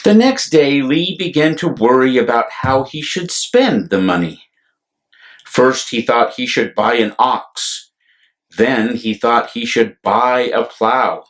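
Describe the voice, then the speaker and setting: A man reading a picture book aloud to children as a storyteller, deliberate and expressive, with explanatory asides. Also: medium pitch, rough recording. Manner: deliberate, animated, explanatory asides to young listeners.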